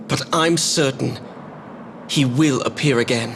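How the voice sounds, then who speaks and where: A man playing a calm, earnest young fantasy prince in a video game, reassuring and measured. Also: mid-range voice, background noise, lightly acted. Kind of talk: scripted video game voice acting.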